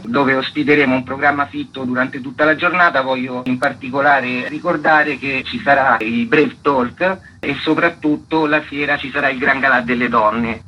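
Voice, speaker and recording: A man speaks as an interview guest, explaining at length in a mid-pitched voice. The sound is lo-fi and dull, with some noise behind him. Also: sharp tone. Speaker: festival co-artistic director, interview guest. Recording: dull lo-fi radio line with clear noise.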